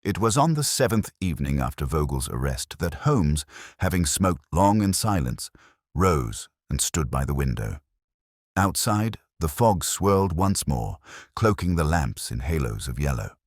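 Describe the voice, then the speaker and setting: A man narrating a scripted first-person mystery story in a measured, formal, literary manner. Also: a bass voice, big pitch swings, no room sound, studio quality. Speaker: audiobook narrator.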